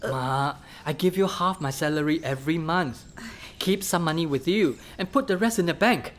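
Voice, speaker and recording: A woman's voice: low-pitched, moderate pace, close to an everyday voice. A woman playing a coaxing, insistent young office worker in a radio play, with a Singaporean accent. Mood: persuasive. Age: young adult. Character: earnest, persuasive young working adult child, office employee.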